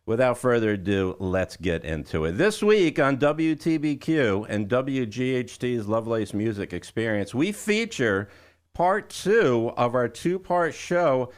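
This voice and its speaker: A man's voice: mid-range voice, sing-song, a little slow. A man hosting a music radio show, chatting informally with listeners and guests with a showman's patter. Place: radio studio.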